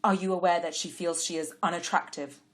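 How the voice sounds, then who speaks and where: Woman playing a dignified older father, measured and kind, low voice. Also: steady tone, close to an everyday voice. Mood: pleased.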